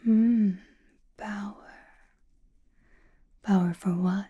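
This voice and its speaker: An enigmatic sorceress of chaos magic, acted out by a woman for an ASMR roleplay, whispers in a very dark, soft tone. Her delivery is slow and deliberate, breathy and cryptic, as if confiding in one listener. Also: medium pitch, fairly even intonation, exaggerated voice. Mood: cryptic, probing.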